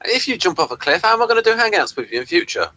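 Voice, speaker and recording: A man's voice: high-pitched, big pitch swings, racing, pushed and bright, a little hiss or hum. A man co-hosting a review show, chatting casually and hesitantly about audio drama as he meanders through his points.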